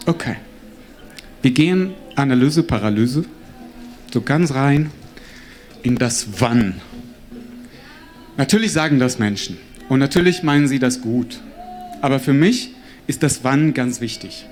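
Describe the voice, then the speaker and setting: A man performing spoken word on stage, talking directly to the audience with wide, animated swings of voice. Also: unhurried, long pauses, faint music behind. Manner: animated, addresses the crowd directly, swings pitch widely. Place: stage before a live audience.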